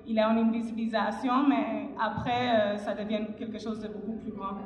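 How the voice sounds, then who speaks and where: A woman's voice: medium pitch, flat delivery, brisk, darkish tone. A woman speaking as a panelist, giving reflective, spontaneous answers and often searching for words. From Montreal resident of Afro-Cuban origin. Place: public panel in a hall, through a passed microphone. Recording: echoey reverberant hall, dull sound.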